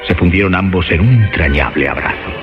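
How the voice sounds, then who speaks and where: Male narrator, very low voice, solemn literary horror storytelling, radio drama, muffled recording, loud music bed. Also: expressive, fairly quick. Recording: dull lo-fi track under loud music.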